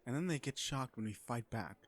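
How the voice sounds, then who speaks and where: Casting: male actor as a sardonic, flirty corporate supervillain for romantic comedy audio roleplay, mid-pitched, conversational and sure of himself. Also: lively intonation, fairly quick, natural voice. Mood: smug, exasperated. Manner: conversational venting, sardonic and self-assured. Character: cocky, flirtatious businessman supervillain on a blind date.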